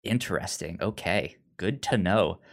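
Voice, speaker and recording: A man's voice: deep voice, unhurried. A man co-hosting a podcast in casual, unscripted chat, hesitant and often stammering through repeated false starts. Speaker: podcast co-host. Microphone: remote online call.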